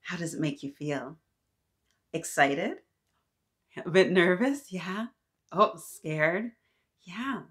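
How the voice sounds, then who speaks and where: A woman in her thirties reading aloud to young children, animated and encouraging, often addressing them directly with questions. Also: on the low side, takes it slowly, long pauses. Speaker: children's story-time host. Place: small decorated indoor room.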